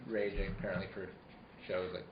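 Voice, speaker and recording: A woman's voice: extremely low pitch, level tone, normal speed, a crowd in the background. A woman co-hosting a casual chat, putting in dry interjections. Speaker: co-host. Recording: echoey room, dull sound, noisy background.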